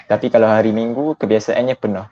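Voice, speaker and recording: A young man in his twenties from Malaysia, a university student answering interview questions politely and in a measured way. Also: on the low side, fairly even intonation. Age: 20s. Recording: dull lo-fi sound.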